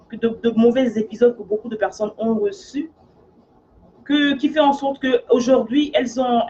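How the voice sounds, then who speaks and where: A woman's voice: mid-range voice, darkish tone, dull audio, slight background noise. A woman from Africa who works as a life coach, talking in an earnest, casual monologue and often checking in with her listener.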